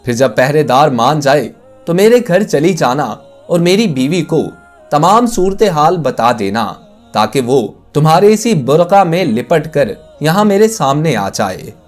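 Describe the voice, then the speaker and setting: Wise male scholar, played by a male narrator in a moral tale: calm, guiding, fairly high voice over music. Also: a little slow. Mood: calm, instructive.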